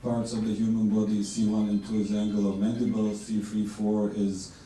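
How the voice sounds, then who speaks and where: Deep-toned man lecturing in an echoey hall. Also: level tone, dark tone. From Bosnia and Herzegovina. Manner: measured, with frequent fillers and restarts. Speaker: neurosurgeon lecturer. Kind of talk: conference lecture. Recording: distant mic in an echoey hall with faint noise.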